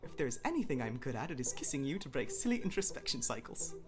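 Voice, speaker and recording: A narrator voicing a young, excitable and affectionate partner in an audio drama. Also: mid-range voice, quick pace, music in the background, close to an everyday voice. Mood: cheerful, affectionate.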